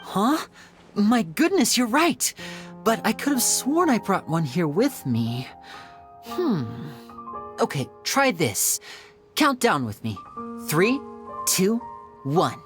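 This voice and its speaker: A suave young stage magician. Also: male voice, sits high, sing-song, slow pace, pauses often, full character voice. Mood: theatrical. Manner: theatrical, playful showman, soft reflective narration. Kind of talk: video game voice acting. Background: game music.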